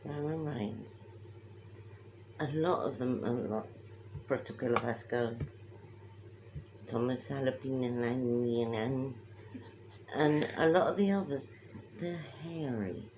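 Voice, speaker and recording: A woman's voice: a bass voice, slow pace, pauses often, dark tone, slight background noise, low-quality recording. A woman co-hosting a casual chat, teasing and drawing out her words for emphasis. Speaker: co-host.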